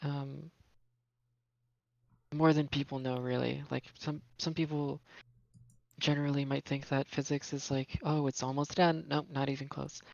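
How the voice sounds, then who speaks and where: Young Brazilian-born man, gravelly and hesitant. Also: medium pitch, little pitch movement, unhurried, long pauses, darkish tone, dry recording, lo-fi sound. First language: Portuguese. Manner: rambling, hesitant, self-correcting. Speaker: podcast guest. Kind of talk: casual chat.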